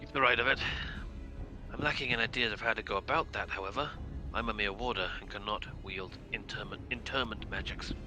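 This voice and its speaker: A man reading the part of an earnest, dutiful warder from a fantasy video game, in formal, measured speech. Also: lowish voice, over music, close to an everyday voice, low-quality recording. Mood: explaining, earnest. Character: dutiful, earnest male warder of a magical prison. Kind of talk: live read of video game dialogue.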